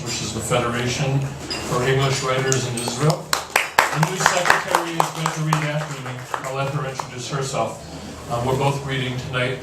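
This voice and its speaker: A man, a poet and writers' association chair, introduces his reading in a restaurant cafe, talking haltingly with many fillers. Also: mid-range voice. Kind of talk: introduction before a poetry reading. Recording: echoey room from far off with background noise.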